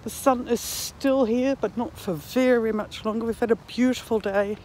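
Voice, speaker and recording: A woman in her sixties giving a reflective, halting monologue to herself and her viewers as she walks outdoors. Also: on the high side, steady tone, darkish tone, slight background noise, poor audio. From Netherlands and New Zealand. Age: 60s. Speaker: vlogger. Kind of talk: reflective walking vlog monologue. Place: outdoors, walking in a park.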